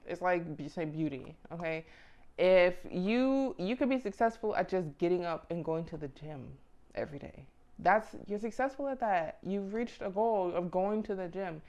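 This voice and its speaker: Young woman vlogger giving casual advice, clear smooth voice, slangy n blunt, kinda dull audio. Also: mid-range voice, darkish tone. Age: mid 20s. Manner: candid, informal, blunt, slangy. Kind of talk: casual motivational chat to camera.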